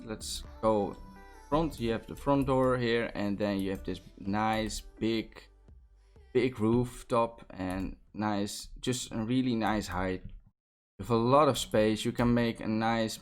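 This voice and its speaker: A young man from the Netherlands with a Dutch accent, talking through a Minecraft build in a casual, enthusiastic way, with many fillers and restarts. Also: on the low side. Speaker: Minecraft building tutorial host. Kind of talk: casual gameplay commentary.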